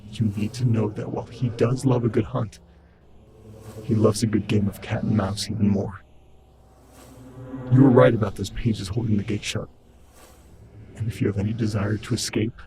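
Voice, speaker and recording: An actor in an audio drama playing a disembodied, once-human servant spirit, commanding and impatient, with formal, urgent speech. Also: male voice, natural voice. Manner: stern, urgent, formal and cryptic. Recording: loud rumbling background noise.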